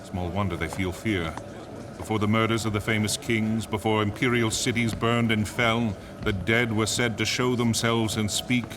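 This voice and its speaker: Low-voiced, solemn male radio announcer, acted. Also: steady tone, moderate pace, background noise, lightly acted. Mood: solemn, uneasy. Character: tense, solemn male radio announcer watching a crowded plaza. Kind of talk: live-commentary radio drama in verse. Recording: clear outdoor crowd and street ambience behind.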